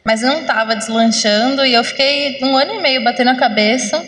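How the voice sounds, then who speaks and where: A woman in her thirties from Brazil, a podcast guest telling her story in a casual, relaxed way. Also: high-pitched, fairly quick, echoey room, long reverb tail. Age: 30s.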